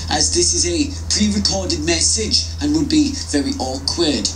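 A man's voice: a little high, unhurried, roomy sound. Smug TV boss played by a man in a clumsy Welsh accent, in a home office with music. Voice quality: put-on Welsh accent, lively. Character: man doing a pompous Welsh showrunner on a video message. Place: small home office, indoors.

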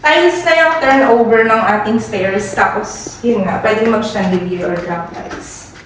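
A woman's voice: mid-range voice, normal speed, far from the mic. A young Filipino woman working as a real estate agent, giving an upbeat, salesy house tour that mixes English and Tagalog. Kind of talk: property tour vlog. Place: inside a show house and outside on a street. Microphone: handheld camera held by the speaker. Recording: echoey rooms, off-mic, street noise.

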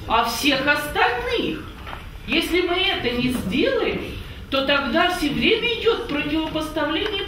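A woman in her fifties speaking up at a public meeting, emphatic and lively, repeating points and asking her listeners if they understand. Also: high-pitched. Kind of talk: impassioned public remarks. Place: meeting hall with an audience. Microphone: far camera, no microphone. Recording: echoey hall from far off with noise.

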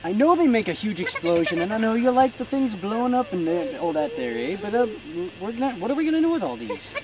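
A woman's voice: medium pitch, big pitch swings, brisk, natural voice, low-quality recording. A woman doing a bomb-shop keeper. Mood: scolding. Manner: folksy, tacks "there, eh" onto lines; scolding, threatening. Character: chatty hot-tempered female bomb shopkeeper. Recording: loud background noise.

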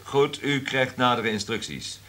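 An actor playing a cynical, commanding radio station director in a radio drama, speaking in a brisk, authoritative way. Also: male voice, medium pitch, natural voice. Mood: calculating. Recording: faint background noise, radio play.

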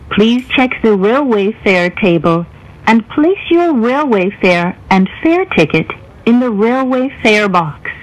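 A woman reading scripted train announcements in a formal, deliberate way. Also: on the high side, poor audio.

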